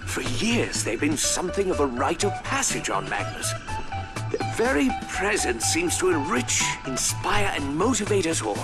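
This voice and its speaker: A man's voice: a little high, very animated, normal speed. An actor playing an earnest, eccentric scientist who narrates his diary in a video game with grandiose, dramatic precision. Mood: earnest wonder. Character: earnest, theatrical, eccentric male scientist doctor. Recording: loud music behind.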